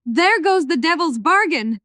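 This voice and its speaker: A man voicing a coarse, drunken slum woman in an audiobook, hoarse, shrill and taunting. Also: expressive, measured pace, pushed and bright, no room sound, clean studio sound, full character voice. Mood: mocking. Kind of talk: dramatised audiobook character reading.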